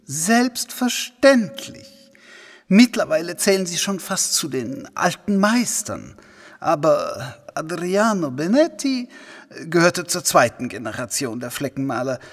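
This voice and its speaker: A smug, slyly polite gentleman of wealth, fairly high and husky. His courtesy is affected and theatrical, with a callous edge, in a reverberant radio-play room. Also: big pitch swings, measured pace, heavily acted. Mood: condescending explaining. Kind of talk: German audio drama acting.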